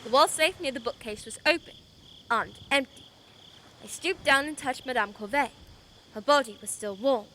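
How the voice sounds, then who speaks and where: Woman doing cool headed lady detective for a radio play, high bright voice, bit of background noise. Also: normal speed. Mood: composed, recounting. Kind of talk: radio play acting and narration.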